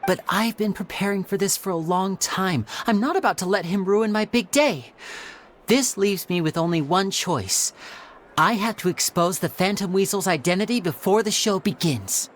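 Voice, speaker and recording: A man's voice: sits high, normal speed, close to an everyday voice. An actor playing a suave, confident young male stage magician with a polished, showman-like delivery. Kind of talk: video game dialogue.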